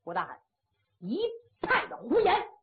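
A woman's voice: a little high, huge pitch swings, unhurried. A woman voicing a stern, cold male marshal who gives clipped orders. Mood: stern rebuke. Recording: tinny phone-like audio.

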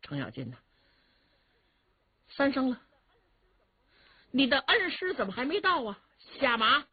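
A woman storyteller voicing an impatient, menacing male bandit king with gruff, commanding taunts. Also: sits high, wildly swooping pitch, a little slow, no room sound, narrow, tinny audio, pitched above the performer's own voice, exaggerated voice.

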